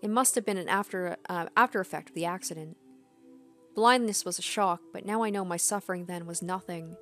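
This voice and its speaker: A woman's voice: lowish voice, brisk, fairly bright tone, faint music behind. A young adult woman game streamer reading story narration aloud and adding casual commentary.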